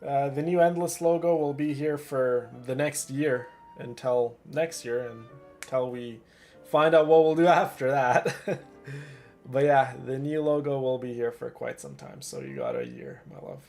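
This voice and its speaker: A man hosting a game livestream in a mid-pitched, creaky voice. He chats casually and gushes fondly at his viewers, with soft music playing behind him. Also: expressive, unhurried. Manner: effusive, affectionate toward viewers. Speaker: livestream host.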